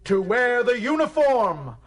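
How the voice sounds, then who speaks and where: An actor playing a fervent orator addressing an assembly in a radio drama, with grandiose, preacherly emphasis. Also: male voice, very high voice, very animated, takes it slowly, distant mic, full character voice. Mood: urgent, rousing. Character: fervent male rabble-rousing orator addressing a community assembly. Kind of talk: theatrical political speech in radio drama.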